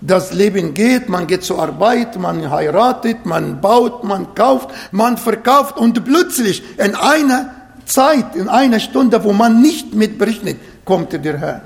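Slow high-voiced man preaching in a hall. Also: lively intonation, creaky. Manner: non-native German with grammar slips; slow, emphatic scripture reading. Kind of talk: sermon.

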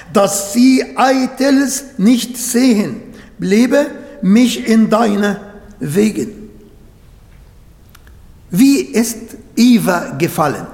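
Arabic-native male preacher, high voice, slow earnest delivery, echoey hall recording. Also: darkish tone. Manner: earnest, searching for German words. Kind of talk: sermon.

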